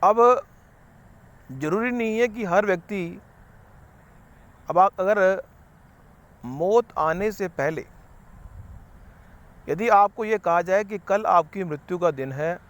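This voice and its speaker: Guy with a high voice rambling thru a spiritual talk, repeats himself a lot. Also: takes it slowly, pauses often. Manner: rambling, repetitive, mixes English words. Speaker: spiritual speaker. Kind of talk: unscripted motivational talk.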